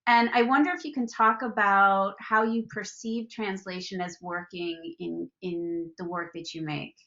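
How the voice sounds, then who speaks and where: A woman interviewer asking a long question in careful, halting phrases. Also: medium pitch, fairly even intonation, fairly bright tone, dull audio.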